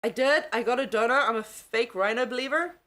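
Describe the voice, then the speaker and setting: Young female livestream host, mixed South African accent, slightly high pitch, laughs often, casual and loose. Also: a little fast, a bit bright. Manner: giggly, chatty, swears freely. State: tired. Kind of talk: casual live chat with viewers.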